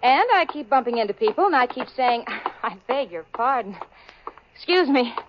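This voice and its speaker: A woman's voice: on the high side, lively intonation, background noise, natural voice. An actress playing Jane, a level-headed, sarcastic young woman, in a 1940s radio sitcom. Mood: wry narration. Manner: wry, sarcastic, quick with comebacks. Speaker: sitcom co-lead and narrator. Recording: muffled old radio broadcast with studio audience.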